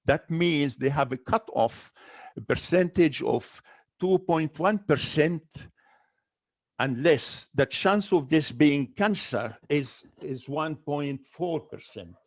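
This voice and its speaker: A man's voice: fairly high pitch, slow pace. A man, an ENT doctor, giving a medical webinar lecture, listing symptoms one by one with careful restarts.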